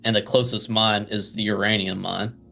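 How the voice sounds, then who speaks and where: A man's voice: fairly low pitch, flat delivery, normal speed, vocal fry, sharp tone, soft background music. A man playing a tabletop role-playing game, talking casually as he asks questions and reasons things through.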